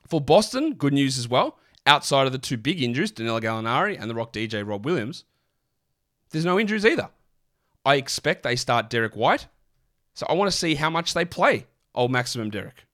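A man, sick and croaky, hosting a casual basketball podcast with lots of rambling restarts. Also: mid-range voice, sing-song, a little fast, sharp tone. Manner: rambling, chatty, many fillers and restarts. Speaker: fantasy basketball podcast host. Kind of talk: casual solo podcast talk.

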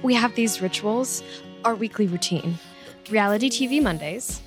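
A woman's voice: mid-range voice, normal speed, over music, natural voice. An actress playing an eager, awkward, chatty young woman in an audio drama, both confiding narration and dialogue. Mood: chirpy, confiding. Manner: chatty, hesitant, nervously upbeat. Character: eager, awkward, clingy young woman, human best friend of a vampire.

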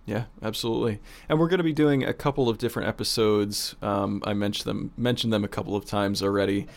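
A man's voice: on the low side, fairly even intonation, fast, gravelly. A man talking casually on a podcast as a producer and co-host. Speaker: audio drama producer, co-host.

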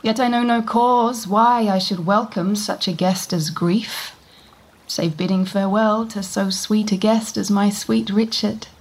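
A woman's voice: mid-range voice, fairly even intonation, a little slow, low-quality recording. A woman playing a melancholy queen in a Shakespeare audio drama, brooding on a coming grief. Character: the sorrowful young Queen.

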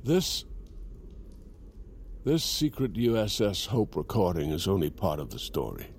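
Slow, earnest, halting hero. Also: male voice, sits low, wildly swooping pitch, a little mellow, a little hiss or hum, exaggerated voice. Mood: earnest, resolute. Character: earnest, uncertain young man turned truth-telling broadcaster. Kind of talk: audio drama acting. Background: clear dramatic music.

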